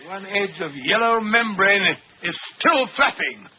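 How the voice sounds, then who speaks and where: An actor playing an earnest, excitable gentleman doctor with a blustery manner in an old radio drama. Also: sits high, unhurried. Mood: earnest, intrigued. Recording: tinny old radio, faint noise.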